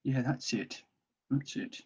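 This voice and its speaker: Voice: slow pace, mellow, close to an everyday voice. A dull, lo-fi recording of an actor playing a cowardly male orc captain in a fantasy comedy read. He plots in an urgent, conspiratorial way, exasperated with those around him. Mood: weary, secretive. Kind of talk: table read acting.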